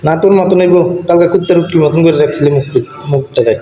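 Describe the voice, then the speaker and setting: A man's voice: a little high, quick pace. A man chatting casually on a recorded phone call. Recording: roomy, faintly noisy phone call recording.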